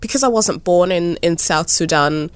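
A young woman with a low voice talks through her answers in an interview, musing and candid, with lively lifts in her pitch. The sound is close and dry, with no room around her. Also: normal speed, clear, resonant tone, no room sound. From born in Ethiopia, raised in Kenya and Australia. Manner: thoughtful, frequent "like" and "you know", lively rises. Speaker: interviewee, artist. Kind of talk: casual conversational interview.

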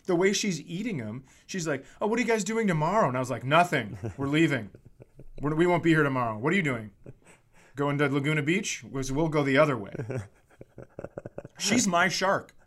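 Man, fairly high pitch, comedy podcast host, casual storytelling, profane exaggerated rants. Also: lively intonation, fairly quick, a bit bright.

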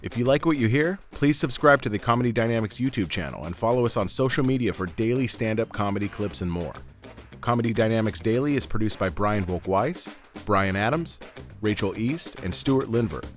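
A man reading a podcast's scripted intro and outro in an even, polished delivery. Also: lowish voice, brisk. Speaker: podcast announcer. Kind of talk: scripted announcement. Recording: studio voice over light music.

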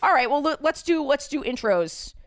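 A woman hosting a comedy podcast, joking in a lowered radio voice. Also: expressive, normal speed, a loud crowd. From United States. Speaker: podcast host. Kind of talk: casual comedic podcast chat.

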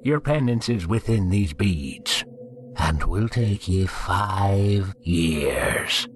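A man voicing a menacing, secretly demonic priest who speaks slowly and with cold sarcasm, in a horror story. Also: sits low, lively intonation, soft background music, pitched above the performer's own voice, full character voice. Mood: ominous. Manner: slow, deliberate, coldly sarcastic. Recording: clearly noisy recording.

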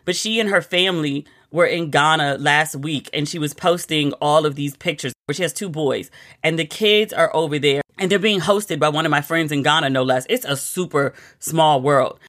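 An American woman hosting a solo podcast, talking in a chatty, animated and confiding way. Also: low voice, normal speed. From United States. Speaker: podcast host. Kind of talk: casual solo podcast chat.

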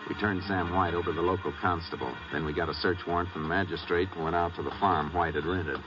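An actor playing a calm Texas Ranger in a radio western, plain-spoken and measured, with an American accent. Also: male voice, sits low, over music, natural voice. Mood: firm. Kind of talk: 1950s radio western acting. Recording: muffled, very noisy 1950s radio recording.

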